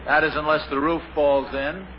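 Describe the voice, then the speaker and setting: A flustered, good-natured family dad voiced by a man in a 1950s radio sitcom, grumbling about his day with a chuckle. His voice sits high for a man. The sound is a dull old broadcast transcription with a faint hiss. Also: level tone, normal speed, close to an everyday voice. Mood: exasperated. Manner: exasperated, chuckling, comic complaints. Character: flustered easygoing family father.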